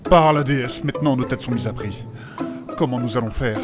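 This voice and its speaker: A man's voice: mid-range voice, a little fast, music in the background, lightly acted. A man playing a prim, pious fantasy companion, formal, fussy and easily scandalised, in a comedic audio drama. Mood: worried.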